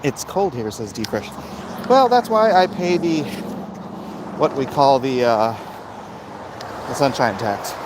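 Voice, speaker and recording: A man's voice: medium pitch, very animated, slow, pauses often. A man talking casually and ramblingly with jokey asides, as a vlog host out on a walk. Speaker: vlog host, comic book creator. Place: outdoors on a street walk. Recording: outdoor street noise, passing vehicles.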